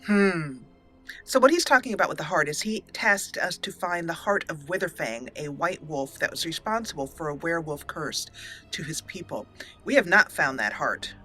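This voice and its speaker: A woman's voice: low voice, bright, forceful tone. A woman hosting a let's play, commenting casually and thinking aloud as she plays. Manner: chatty, thinking aloud with fillers and laughs.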